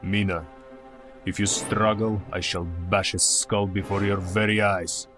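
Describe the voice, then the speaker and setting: Menacing low vampire count, slow. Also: male voice, flat delivery, over music. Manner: commanding, threatening, slow and deliberate. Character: menacing male vampire count, cruel and possessive. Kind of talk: radio play horror acting.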